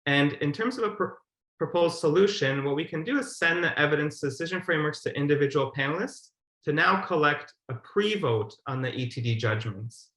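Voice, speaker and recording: A man's voice: a little high, lively intonation, fairly quick, sharp tone. A man giving a formal, methodical explanatory presentation as a webinar presenter over a video call. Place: indoor room, on a video call. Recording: roomy, echoey webinar audio.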